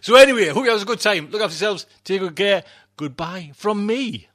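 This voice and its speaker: A man's voice: high-pitched, expressive, brisk, fairly bright tone. A middle-aged man, hosting a podcast in casual, effusive, rambling chat. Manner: effusive, rambling, repeats himself for emphasis. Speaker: podcast host.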